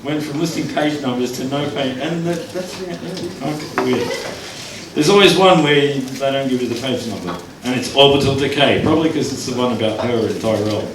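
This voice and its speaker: A man's voice: mid-range voice, fairly quick, noisy background. Man, spoken-word poet, wry asides, echoey venue, distant mic, audience. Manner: wry asides, counts down his time aloud. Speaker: poet performing. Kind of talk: live spoken-word poetry reading.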